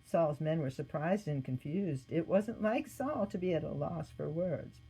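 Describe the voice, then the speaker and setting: Female storybook narrator: lowish voice, soft-edged and a little husky, expressive scripted read. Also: a little slow, rough recording. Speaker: storybook reader.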